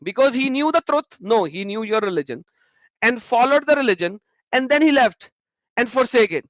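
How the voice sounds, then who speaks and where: A man hosting a casual discussion, animated and repeating his phrases. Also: extremely high pitch, normal speed, no room sound. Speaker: host. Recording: muffled lo-fi sound.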